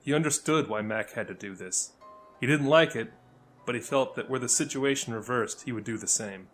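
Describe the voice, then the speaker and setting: A man reading scripted fantasy narration as a storyteller, with dramatic, deliberate delivery. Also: medium pitch, fairly bright tone, soft background music.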